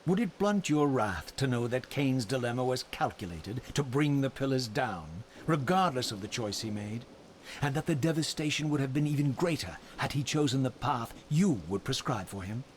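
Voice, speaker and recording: A man plays a tormented wraith from a gothic video game. He is grave and theatrical, choosing archaic words with deliberate, cold scorn. A steady bed of ambient noise sits behind the voice. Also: mid-range voice, lightly acted. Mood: coldly probing. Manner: formal, deliberate, archaic eloquence. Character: tormented male wraith, brooding, eloquent, coldly scornful. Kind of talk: dramatic video game voice acting.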